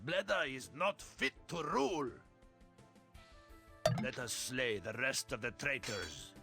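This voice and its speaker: An actor playing a fiery, loyal Hun warrior in a video game, declaiming with vehemence. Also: male voice, mid-range voice, lively intonation, slow pace, creaky, pushed and bright, faint music behind, exaggerated voice. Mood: accusing, agitated. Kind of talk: video game cutscene voice acting.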